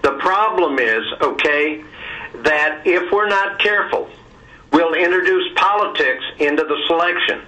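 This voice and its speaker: A man speaking as a board member in a conference call discussion, halting and repeating words, often tagging phrases with "okay". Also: fairly high pitch, bright, forceful tone. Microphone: telephone. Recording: distant conference call line.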